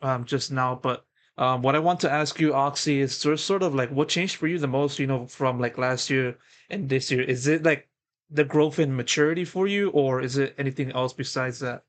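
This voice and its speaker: A man's voice: medium pitch, moderate pace. Man asking long, filler-filled interview questions. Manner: wordy, filler-heavy questions.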